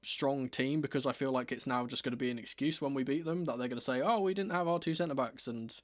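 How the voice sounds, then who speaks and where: A man, a bit croaky from being under the weather, chats casually and wryly as the host of a football watchalong stream. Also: mid-range voice, fast talker, no room sound, studio quality. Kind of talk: casual livestream chat.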